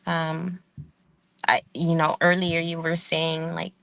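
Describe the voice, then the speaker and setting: Gravelly low-voiced woman, thoughtful guest. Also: monotone, takes it slowly, fairly bright tone, no room sound. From Salvadoran family, living in the United States. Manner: thoughtful, frequent "um" and "right?" tags. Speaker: guest, community organizer and professor. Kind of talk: podcast interview.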